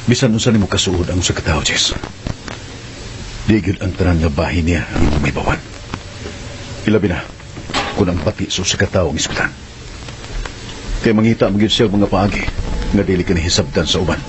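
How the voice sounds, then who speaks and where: A low-pitched male actor as a serious man on the run in a radio drama, grave and deliberate. His wary delivery sits on a clearly noisy track. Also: natural voice.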